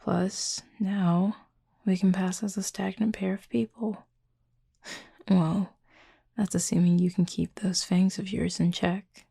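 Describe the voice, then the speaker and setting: A woman playing a reserved, gently teasing cupid roommate in an intimate audio roleplay, speaking softly and breathily in a dry, measured way. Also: mid-range voice, flat delivery, creaky, slightly rough, full character voice. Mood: teasing, casual. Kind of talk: intimate roleplay audio drama, addressing the listener.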